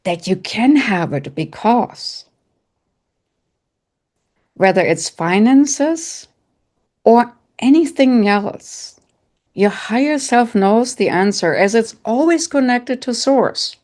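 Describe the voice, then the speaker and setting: A middle-aged woman from Germany with a heavy German accent, gently guiding an online audience through a spiritual exercise and answering questions in short, repeated phrases. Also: on the high side, expressive, slow, long pauses. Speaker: psychic medium and coach, guest speaker.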